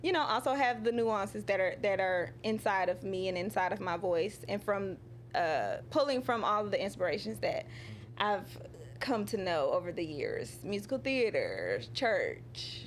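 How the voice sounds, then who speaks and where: A woman singer-songwriter talking casually in an interview, animated and full of laughs, acting out her own quotes, with drawn-out "you know" and "um" fillers. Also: mid-range voice, a little hiss or hum.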